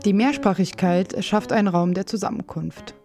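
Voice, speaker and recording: Mellow woman narrating a scripted feature. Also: mid-range voice, moderate pace. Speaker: reporter narrating a radio feature. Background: music.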